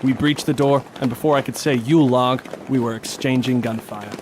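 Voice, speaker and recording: A man playing a determined, hard-boiled police detective in a noir radio drama, narrating and speaking in a terse, deadpan way. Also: medium pitch, brisk, heavy background noise, close to an everyday voice. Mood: grim, determined. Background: music.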